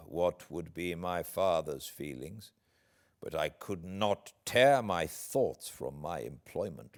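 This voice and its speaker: A British man, an audiobook narrator, reading classic literature aloud in a measured, expressive, dramatic way. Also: low voice. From Britain.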